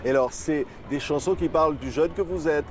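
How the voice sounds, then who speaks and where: A man interviewing a singer outdoors at a festival, chatty and lively in his questions. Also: on the high side, fairly quick, soft background music.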